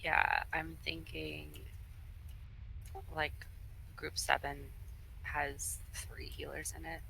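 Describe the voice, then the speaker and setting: Creaky, bright-voiced raid leader in voice chat. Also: medium pitch, level tone, slow, rough recording. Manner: hesitant, thinking out loud. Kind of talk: casual voice chat organizing an online game raid. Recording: faint noise on gaming voice chat.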